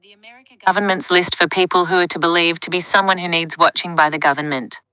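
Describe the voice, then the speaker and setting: A woman's synthetic voice reading out live chat messages in a flat, even way. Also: on the low side, fast, clear, resonant tone, rough recording. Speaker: text-to-speech chat reader.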